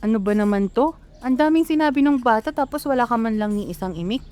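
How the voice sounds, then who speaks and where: Woman playing a high-voiced, chatty Filipino mother. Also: animated, fast, a little mellow, close to an everyday voice. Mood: scolding. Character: chatty teasing middle-aged mother of three. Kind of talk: amateur radio drama acting.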